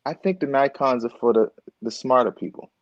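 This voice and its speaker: A man chatting as a guest on a live stream, laid-back and animated, laughing easily. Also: medium pitch, fairly quick, no room sound, dull audio. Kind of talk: casual interview chat.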